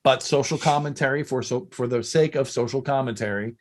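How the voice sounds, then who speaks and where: A man's voice: medium pitch, fairly quick. A man hosting a podcast, chatting casually in a salty, opinionated and effusive way. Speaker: podcast host. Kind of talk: casual interview chat.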